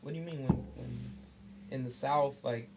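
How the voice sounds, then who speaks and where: A man's voice: mid-range voice, fairly even intonation, normal speed, darkish tone, slight background noise, poor audio. A man co-hosting a podcast in casual group chat, earnest and repeating himself, swearing freely. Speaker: podcast co-host.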